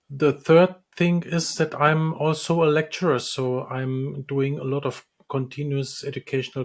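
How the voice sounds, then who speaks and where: A man from the Republic, a dentist and lecturer, answering interview questions with many pauses, ums and restarts. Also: mid-range voice. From Czech Republic. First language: Czech.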